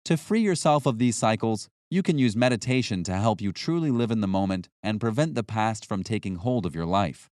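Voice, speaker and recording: A man reading a scripted nonfiction audiobook as narrator, in a measured, instructional way. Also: fairly low pitch, expressive, darkish tone, dry recording, clean studio sound.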